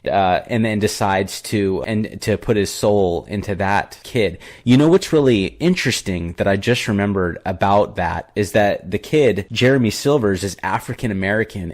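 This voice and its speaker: Young male podcast co-host, low voice, casual and filler-heavy. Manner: rambling, filler-heavy retelling. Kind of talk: casual movie review chat.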